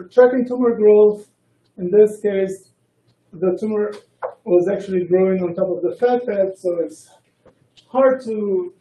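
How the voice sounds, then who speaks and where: Higher-pitched man presenting, very muffled dark tone. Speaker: researcher presenting a colloquium. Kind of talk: academic scientific presentation. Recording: roomy echo, distant microphone.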